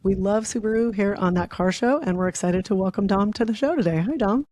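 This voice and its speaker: Upbeat podcast host, mid-pitch voice, dry close sound. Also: brisk, a little mellow, no room sound. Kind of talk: casual interview hosting.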